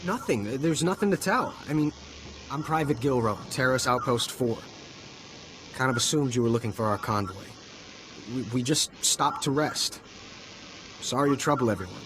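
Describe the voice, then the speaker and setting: An actor playing a weary, desperate army private, halting and pleading, in a video game. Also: male voice, mid-range voice, animated, noisy background, natural voice. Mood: guilty, flustered.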